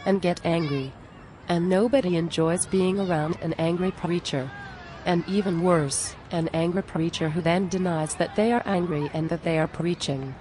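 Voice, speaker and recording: An exasperated, down-to-earth cartoon friend, played by a woman, speaking plainly and conversationally. Her voice is a bit on the low side, with faint outdoor noise behind it. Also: moderate pace, warm tone, natural voice. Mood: frustrated, earnest. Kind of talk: scripted animated dialogue.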